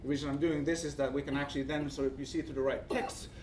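A man with a Finnish accent gives an academic presentation, speaking haltingly and searching for words. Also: medium pitch, steady tone, a little mellow. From Finland. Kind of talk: conference presentation with slides. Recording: distant mic, faint background noise.

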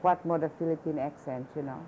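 An older woman with a fairly low voice, talking at an easy conversational pace. She keeps tagging her sentences with "you know" and "you see." A faint hiss of noise sits under the recording. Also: fairly even intonation, fast talker, warm tone, muffled recording. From Hawaii. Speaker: interviewee. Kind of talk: casual conversation.

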